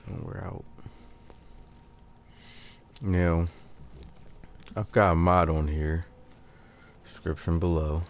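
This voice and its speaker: A man giving casual game commentary, thinking aloud in an unhurried, halting way. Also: sits low, fairly even intonation, creaky, muffled recording, a little hiss or hum. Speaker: gamer narrating his own playthrough.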